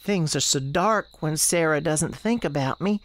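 A woman's voice: low voice, a little slow. A woman playing the restless, defiant ghost of a sixteen-year-old novice nun in a radio drama, earnest and plaintive, with folksy phrasing. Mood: wistful. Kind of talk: dramatic radio theatre dialogue.

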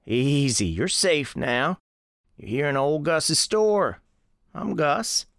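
A man playing a folksy, watchful old American storekeeper who is gentle with children, telling his story in a radio drama. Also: on the high side, sing-song, takes it slowly, dry recording, studio quality, full character voice. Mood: soothing. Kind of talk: first-person scripted storytelling in radio drama.

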